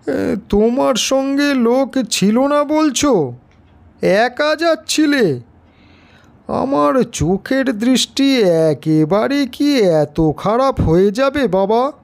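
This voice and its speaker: A man voicing an elderly village uncle in an audio story, bewildered and insistent, with halting, hesitant speech. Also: very high voice, expressive, vocal fry, pitched above the performer's own voice, heavily acted. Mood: astonished, bewildered. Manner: halting, drawn-out, with hesitant interjections.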